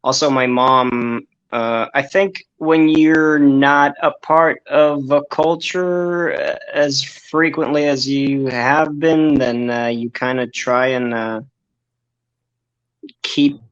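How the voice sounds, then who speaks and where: A man from Israel answers interview questions as a band guest, pausing often with a hesitant "uh" as he thinks. His middle-pitched voice comes through slightly dull and lo-fi. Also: fairly bright tone. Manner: thoughtful, hesitant, many "uh" pauses. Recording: dull lo-fi sound.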